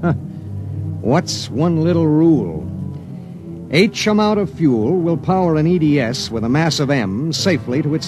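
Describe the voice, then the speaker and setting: Troubled space pilot, lively higher-set voice, vintage radio drama, music underneath. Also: male voice. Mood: somber. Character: male emergency spaceship pilot, dutiful and troubled, narrating his story. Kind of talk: mid-century radio drama acting.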